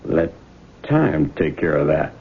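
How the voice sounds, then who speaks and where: Coaxing young smooth talker, husky, old radio drama, thin phone-like sound. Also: male voice, a bass voice, big pitch swings, slow pace, heavily acted. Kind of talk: 1970s radio drama acting. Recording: tinny 1970s radio broadcast.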